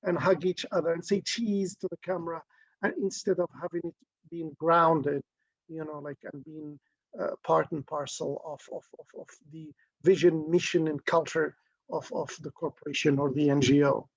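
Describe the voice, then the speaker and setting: A man's voice: on the high side, level tone, normal speed, dry recording. A middle-aged man from Morocco, a guest talking through ideas in a thoughtful, philosophical way with many restarts. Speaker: interview guest. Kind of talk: reflective conversational interview. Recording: dull, dry lo-fi sound.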